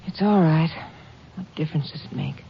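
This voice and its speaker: A woman's voice: low voice, moderate pace, vocal fry. Hard-up American country mother, tired and embittered, on a dull, noisy old radio recording. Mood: bitter, resigned. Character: weary, bitter, impoverished ranch mother. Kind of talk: 1950s radio drama acting.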